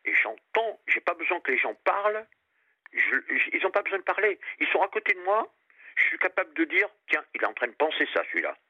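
An older man from France, calling in to a radio show, talking earnestly in a halting way and often repeating his words. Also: sits high, big pitch swings, slightly rough, no room sound. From France, Périgord, grew up on Réunion. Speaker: caller. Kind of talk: phone-in radio call. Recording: muffled phone line.

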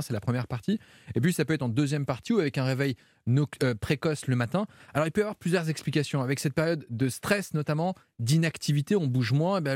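A man giving medical advice as a doctor on a radio health show, explaining things briskly. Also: medium pitch, a little mellow, no room sound, clean studio sound. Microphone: studio mic.

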